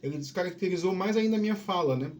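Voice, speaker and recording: A man with a softened Northeastern Brazilian accent and a high-pitched voice talks on his own in a loose, casual monologue. He rambles, restarts his sentences, and keeps tagging them with little checking questions. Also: very fast, slight background noise. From Northeast Brazil (Piauí family). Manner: rambling, many restarts and "né?" tags. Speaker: podcast host.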